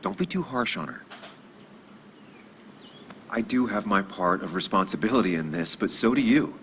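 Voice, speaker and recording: Low-voiced, brooding man. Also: very animated, normal speed, a bit bright, a little hiss or hum. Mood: cautious. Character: brooding, loyal male investigative journalist in his thirties. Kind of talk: naturalistic video game acting.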